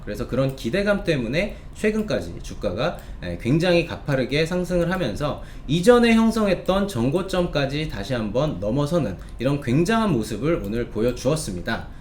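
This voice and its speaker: A man presents stock picks as the host of an online review show. His voice sits a little high and swings animatedly up and down. He speaks politely and formally, stretching hesitations between phrases, with noticeable ambient noise behind him.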